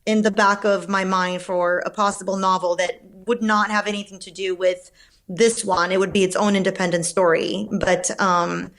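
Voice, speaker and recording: A woman from Romania, a novelist guest answering podcast interview questions in a thoughtful, measured way with frequent fillers and restarts. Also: mid-range voice, little pitch movement, fairly bright tone.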